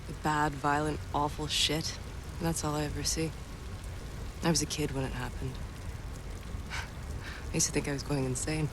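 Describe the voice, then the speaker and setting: A woman's voice: sits low, flat delivery, moderate pace, long pauses, background noise, natural voice. A woman playing a blunt, foul-mouthed but vulnerable young woman haunted by visions in a video game cutscene. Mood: subdued, confiding. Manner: blunt, sweary, emphatic.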